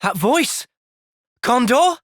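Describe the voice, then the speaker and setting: A man playing a gentle, thoughtful young soldier hero in a video game, earnest and reflective in manner. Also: extremely high pitch, sing-song, slow, dry recording, clean studio sound, full character voice. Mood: alarmed. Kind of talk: video game cutscene voice acting.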